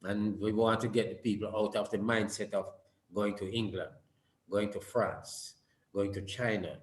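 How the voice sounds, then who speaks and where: A man's voice: fairly low pitch, steady tone, normal speed. Man speaking in a roomy echo. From Jamaica. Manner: earnest, repeats words while building points. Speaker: summit speaker. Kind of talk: speech on a video call. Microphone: video call.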